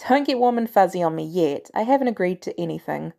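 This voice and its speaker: A woman's voice: lowish voice, animated, fast, vocal fry, warm tone. A woman voicing a brusque, commanding female agency director in an audiobook story, blunt and sardonic with an air of authority. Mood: commanding, dry.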